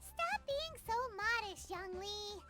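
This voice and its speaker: A woman voicing a cheeky, bossy little floating fairy girl with a squeaky, childlike voice in a video game. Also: animated, takes it slowly, loud music behind the voice, full character voice. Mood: indignant, pushy. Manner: refers to herself in the third person, brash.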